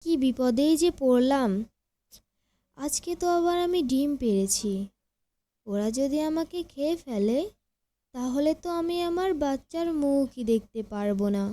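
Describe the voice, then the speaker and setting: A woman as a high, mellow little bird. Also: lively intonation, unhurried. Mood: worried. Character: trusting, worried female blue bird, mother-to-be. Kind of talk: children's cartoon voice acting.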